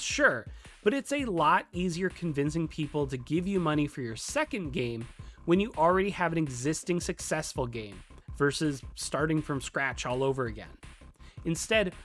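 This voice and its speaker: Animated explainer narrator over music. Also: mid-range voice. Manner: conversational, lightly playful asides. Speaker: video tutorial presenter.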